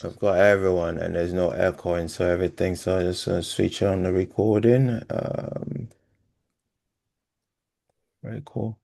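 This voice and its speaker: A man's voice: low-pitched, steady tone, unhurried, creaky, long pauses, a little mellow. A man hosting a football podcast over a call, easygoing, often repeating phrases as he runs the show. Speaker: podcast host. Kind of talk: casual podcast chat.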